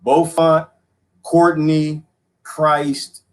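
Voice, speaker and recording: A man hosting a casual livestream, rambling and joking with viewers, laughing often, his voice going hoarse. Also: a little high, flat delivery, very slow. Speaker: livestream host.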